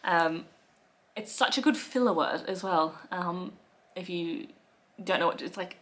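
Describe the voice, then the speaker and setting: Woman, Australian accent, thirties, slightly low voice, wry casual vlog talk, living room at home. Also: measured pace. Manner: chatty and wry, with frequent soft laughs and fillers. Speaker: lifestyle vlogger.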